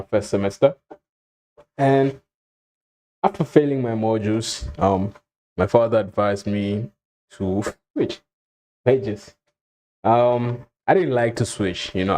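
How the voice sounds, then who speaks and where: A young man from Zimbabwe telling his own story in a relaxed, casual way, with frequent ums and soft chuckles. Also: on the low side. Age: young adult. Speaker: vlogger.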